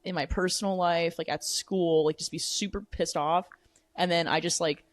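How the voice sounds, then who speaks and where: Deep-voiced young woman, chatty and dry. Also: fairly even intonation, normal speed, dry recording. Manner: chatty, heavy use of "like", casual swearing. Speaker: podcast guest. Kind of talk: casual chat. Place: apartment room.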